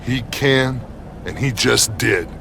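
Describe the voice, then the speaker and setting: A man's voice: mid-range voice, slow pace, creaky, bright, forceful tone, a little hiss or hum, heavily acted. A man playing a vengeful, gloating rival pro wrestler in a video game, mocking and taunting. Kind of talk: scripted video game cutscene acting.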